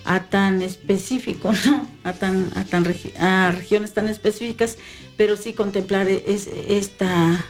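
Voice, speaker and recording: A woman's voice: medium pitch, steady tone, a little slow. Hesitant woman, music behind. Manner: hesitant, many fillers and restarts. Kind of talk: casual conversation.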